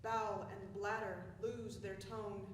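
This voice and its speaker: A woman's voice: medium pitch, little pitch movement, unhurried, natural voice. A woman in a stage play as a rattled, guilty character who speaks in clipped, incantatory recitation. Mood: chanting. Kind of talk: live stage play acting, chanted recitation. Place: indoor theatre stage, recorded at a distance. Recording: very echoey theatre, far off, faint noise.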